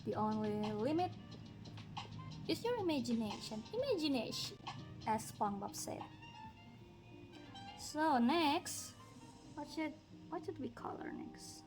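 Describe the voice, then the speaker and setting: Indonesian woman, non-native English, unhurried livestream coloring chat, higher voice, music bed behind. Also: very animated, rough recording. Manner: slow, musing, talks while working, light laughs. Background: background music.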